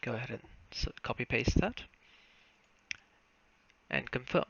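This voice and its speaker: A young South African man giving a formal, step-by-step narrated walkthrough of software. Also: medium pitch, animated, a little slow, vocal fry. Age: young adult. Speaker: student software developer presenting a demo. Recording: muffled lo-fi mic, faint noise, clicks.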